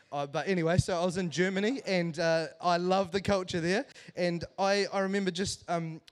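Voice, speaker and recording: A man in his thirties with a New Zealand accent, giving a lively, joking church talk to a congregation. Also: fairly high pitch. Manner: animated, joking, self-deprecating. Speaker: church speaker. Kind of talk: humorous sermon storytelling to a congregation.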